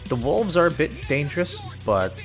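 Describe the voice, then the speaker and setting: A man's voice: on the high side, sing-song, moderate pace. A man giving casual live walkthrough commentary on a video game, explaining his moves in short, instructive remarks. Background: loud game audio and music.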